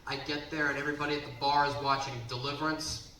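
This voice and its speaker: A man in his twenties from the Baltimore area, doing a brash, punchy stand-up set on a comedy club stage and sometimes shouting. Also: a little high, level tone, fast. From Baltimore area, Maryland. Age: 20s. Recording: roomy, distant, noisy club recording.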